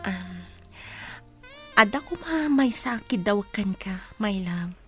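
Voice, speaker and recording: A woman's voice: mid-range voice, lively intonation, takes it slowly, sharp tone, soft background music. A woman with an Ilocano accent playing a grateful, lovestruck single mother in a radio drama, sentimental and heartfelt. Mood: affectionate.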